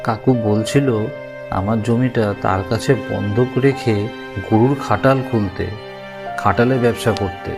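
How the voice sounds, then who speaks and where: Man doing humble village husband in a cartoon, lowish earnest voice, mild, music behind. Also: fairly even intonation, measured pace. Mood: earnest, worried. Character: poor, hopeful, earnest village farmer, a husband. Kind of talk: cartoon character dialogue.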